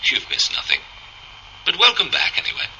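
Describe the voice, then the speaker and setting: A man's voice: on the high side, huge pitch swings, moderate pace, pushed and bright, full character voice. An actor playing a world-weary, sardonic male machine being in a radio drama, with wry, clipped delivery. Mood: wry resignation. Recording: very noisy old radio drama recording.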